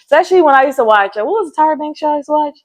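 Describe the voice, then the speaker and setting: A woman co-hosting a casual interview, animated and agreeable, acting out quotes as she shares her own experiences. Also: high-pitched, racing, crowd noise. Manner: animated, acts out quotes, agreeable back-channeling. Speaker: co-host.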